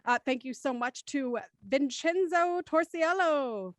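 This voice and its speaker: A woman's voice: high voice, animated, slow pace, no room sound. A woman hosting a livestreamed cast chat and signing off the show, chatty and laughing between lines. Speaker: host.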